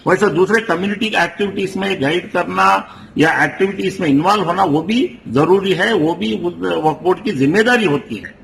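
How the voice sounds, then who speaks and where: A man with a South Indian Deccani Urdu accent explaining himself in a news interview, halting and repeating his words as he insists on his point. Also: high-pitched, vocal fry, fairly bright tone. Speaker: Wakf board chief executive, interviewee. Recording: noisy interview recording with ambient sound.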